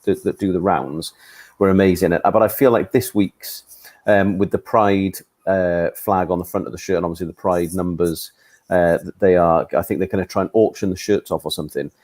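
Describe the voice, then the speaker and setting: A man hosting a post-match discussion, talking keenly but haltingly with many restarts. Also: low voice, steady tone, a little mellow. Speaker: fan trust host.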